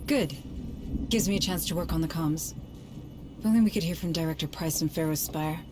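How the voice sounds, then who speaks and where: An actress playing a wary, earnest civilian administrator with a measured, serious delivery in video game dialogue. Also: female voice, low-pitched, music in the background, close to an everyday voice.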